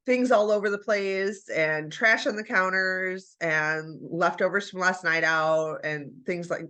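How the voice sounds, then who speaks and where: A woman's voice: mid-range voice, sharp tone. A woman chatting casually to her viewers about home routines, with a stuffy nose after the flu. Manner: chatty, encouraging, addresses viewers as you guys. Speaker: homemaking vlogger. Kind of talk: casual chat to camera.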